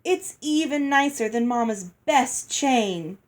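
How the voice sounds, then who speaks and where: A woman's voice: high voice, animated, takes it slowly, pitched above the performer's own voice. A woman voicing a whiny, covetous younger girl from a storybook, pleading and insistent. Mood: nosy, admiring.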